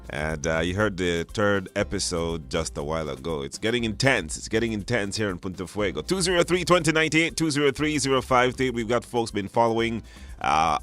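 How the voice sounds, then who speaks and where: A man with a Belizean accent hosting a live radio talk show, speaking in a halting way with repeated phrases. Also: lowish voice, fairly bright tone. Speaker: radio host. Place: radio studio.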